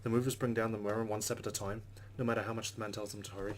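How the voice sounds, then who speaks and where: A man's voice: on the low side, level tone, very fast, a little hiss or hum. A man hosting a let's play, reading game text aloud and adding casual commentary.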